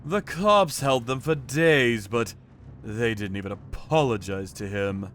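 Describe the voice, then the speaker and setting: A man giving dramatic first-person narration as a calm, righteous, menacing vigilante in an animated manga story. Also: medium pitch, unhurried, a little hiss or hum. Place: studio voice-over with music behind.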